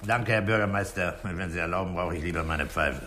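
A man playing a calm, authoritative police inspector, terse and deliberate, in a 1960s German radio drama. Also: deep voice, natural voice. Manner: terse, commanding, unhurried, deliberate.

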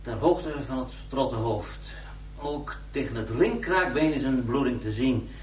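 A man's voice: mid-range voice, a little mellow, close to an everyday voice. Radio crime drama: male actor as clinical, matter-of-fact medical examiner, echoey and distant, methodical delivery. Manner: clinical, measured, dry. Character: matter-of-fact male pathologist dictating autopsy findings. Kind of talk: 1990s Dutch radio drama acting. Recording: echoey room, off mic, faint hum.

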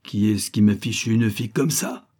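A man's voice: lowish voice, normal speed, natural voice. A man voicing an angry father rooster who rants indignantly, in a storybook reading. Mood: furious. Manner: loud, indignant ranting. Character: furious blustering father rooster.

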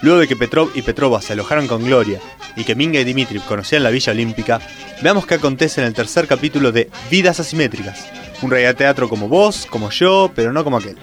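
Actor as radio soap narrator, mock-grand, rapid, animated intonation, parody style. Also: medium pitch, faint music behind, close to an everyday voice.

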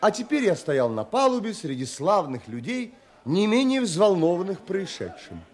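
An actor playing an earnest, educated castaway who narrates his sea adventure in an audio drama, deliberate, courteous and expressive. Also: sits high. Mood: reflective.

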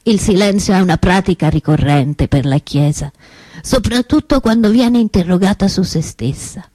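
A woman playing an outspoken, indignant preacher at Mass in a theatrical radio monologue, solemn and deliberate, with rhetorical pauses. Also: on the low side, expressive, dark tone, dry recording. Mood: grave, indignant.

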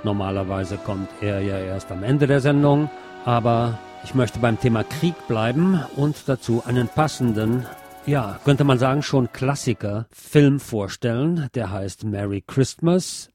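A man's voice: medium pitch, unhurried, music in the background. A man hosting a radio show, presenting a film review in a semi-scripted narrating style.

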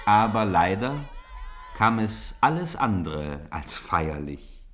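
A man's voice: fairly low pitch, sing-song, takes it slowly, crowd noise, background noise. A man narrating a 1950s German radio play as a vivid, earnest storyteller with precise, dramatic delivery.

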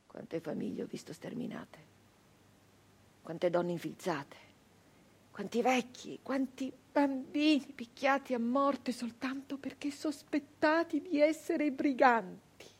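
A high-pitched, fierce woman brigand of the 1800s. She tells her story slowly and bitterly, weighing every word with theatrical intensity. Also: expressive, slightly rough, exaggerated voice. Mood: hushed grief. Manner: intense, deliberate, with long pauses, swinging from hushed to outcry. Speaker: stage actress. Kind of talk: dramatic theatrical monologue.

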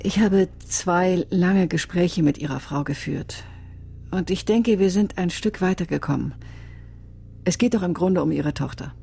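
An actress playing a solemn, soulful female psychic medium in a radio crime drama, speaking in a measured, hesitant, grave way. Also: fairly low pitch, creaky, dark tone, breathy edge, exaggerated voice. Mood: grave, probing.

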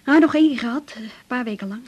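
A lovestruck, slightly resigned woman patient played by an actress in a radio play. She speaks in a fairly high, husky voice, confiding in a chatty yet hesitant way. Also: rapid-fire, darkish tone, lightly acted. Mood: fond, confiding. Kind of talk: naturalistic radio drama acting.

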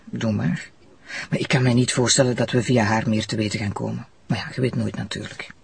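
An actor with a Flemish accent playing a sceptical, colloquial police detective sidekick in a radio drama. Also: male voice, lowish voice, fairly even intonation, a little fast, vocal fry, noisy background. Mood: sheepish. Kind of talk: naturalistic radio drama dialogue.

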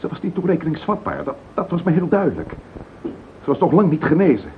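Radio drama husband: bitter, guilt-ridden, halting, swinging widely in tone. Tinny old recording. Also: male voice, on the high side, expressive, a little hiss or hum. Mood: bitter, anguished. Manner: halting, emotional, self-justifying. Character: distraught husband confessing a murderous marriage, bitter and guilt-ridden. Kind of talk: radio play acting.

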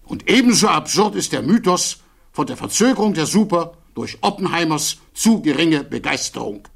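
An actor playing an earnest defense attorney in a German radio play, delivering a formal, measured plea with emphatic rhetoric and deliberate pauses. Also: male voice, on the high side, vocal fry, husky, exaggerated voice. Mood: indignant. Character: defense attorney pleading earnestly before a security hearing.

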